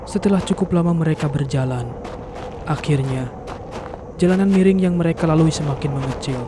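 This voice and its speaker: Higher-pitched male horror narrator, noisy background. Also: fairly quick. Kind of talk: scripted horror story narration.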